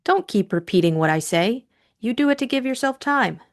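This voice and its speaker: A woman voicing a headstrong young upper-class lady in an audiobook, brisk, teasing and probing. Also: on the high side, expressive, voice pushed up. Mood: teasing, impatient. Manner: adamant, probing. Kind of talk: audiobook character acting.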